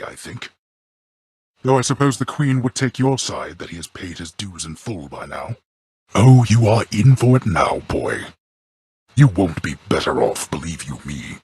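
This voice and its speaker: An actor playing a stern, imperious god-king of the underworld in a video game, with formal, commanding, disdainful and measured delivery. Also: low voice, darkish tone, husky, no room sound, studio quality, heavily acted. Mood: stern, menacing. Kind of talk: theatrical scripted video game dialogue.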